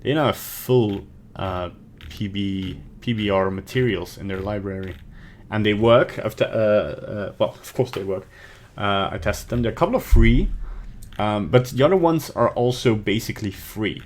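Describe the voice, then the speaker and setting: A man's voice: lowish voice, moderate pace, slight background noise. A young man from Belgium with a Belgian accent, streaming a casual 3D tutorial at his home desk, thinking aloud and rambling as he works. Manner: rambling, thinks aloud, mutters to himself while working. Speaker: livestreaming 3D artist. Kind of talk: casual live tutorial with chat. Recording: faint keyboard typing and clicks.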